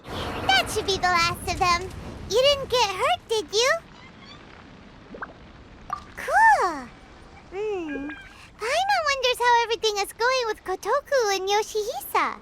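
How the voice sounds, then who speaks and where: A woman voicing a tiny, childlike, eager fairy companion in a video game, squeaky and perky. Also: sing-song, slow pace, pauses often, very noisy, full character voice. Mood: chirpy, relieved. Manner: perky, refers to self in third person. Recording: music behind the voice.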